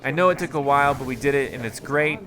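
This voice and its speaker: A man's voice: medium pitch, fast, pushed and bright. A man with a tired, strained voice casually commenting on gameplay, thinking aloud with lots of fillers. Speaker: gaming video host.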